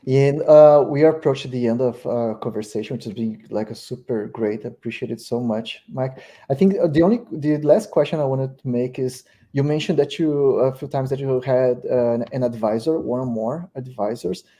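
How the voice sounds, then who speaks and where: A man's voice: mid-range voice, normal speed, few pauses, dark tone. A man with a Portuguese-speaking accent hosting an interview, speaking English as a second language and hesitating with many fillers. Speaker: podcast host. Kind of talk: casual interview chat.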